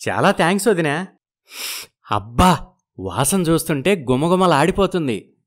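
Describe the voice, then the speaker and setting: A man's voice: on the high side, animated, a little slow. A man playing a genial, food-loving village brother-in-law in a moral story, effusive and full of exclamations. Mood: delighted.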